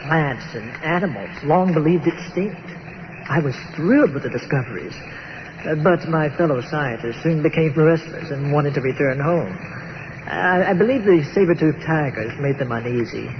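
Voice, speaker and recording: An actor playing a courteous, earnest archaeologist from the nineteenth century, stranded on an island, telling his tale formally and haltingly in old time radio style. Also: on the high side, expressive, background noise. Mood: wistful wonder. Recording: muffled radio-show recording with music behind.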